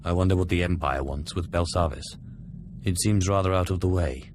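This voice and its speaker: A man voicing a cool, formal, commanding Sith with quiet menace in a game cutscene. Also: low-pitched, fairly quick, background noise, lightly acted. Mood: curious, resolute.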